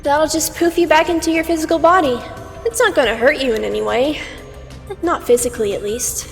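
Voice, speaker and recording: A woman voices an immortal aspect of Twilight with a high, theatrical voice. She lectures like a stern mentor, teasing and condescending, in a fantasy comic dub. Long reverb surrounds her, and music plays behind. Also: brisk, gravelly. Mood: cautioning. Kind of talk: scripted fan comic dub acting.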